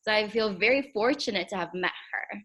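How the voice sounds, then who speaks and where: A woman's voice: medium pitch, brisk, pushed and bright. A young woman from Egypt, a chiropractor guest, talking candidly about her studies and laughing often. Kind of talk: casual interview conversation.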